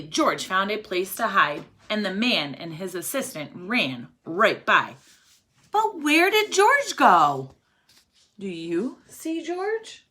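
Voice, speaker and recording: Animated, bright-voiced woman reading to children. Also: medium pitch. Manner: expressive, prompting young listeners with questions and counting along. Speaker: teacher reading a picture book aloud to children. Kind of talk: children's read-aloud storytelling.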